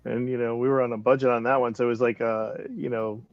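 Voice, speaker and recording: Gravelly, rambling man in casual chat. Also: mid-range voice, level tone, quick pace, dull audio. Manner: rambling, punctuates talk with chuckles. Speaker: director on a production discussion panel.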